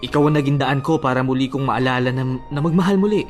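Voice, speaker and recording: An actor playing a devoted, encouraging suitor in a Filipino radio drama, speaking earnestly and persuasively. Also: male voice, medium pitch, fast, natural voice. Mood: pleading, romantic. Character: devoted, encouraging male suitor Alan, a father. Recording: faint music behind the voice.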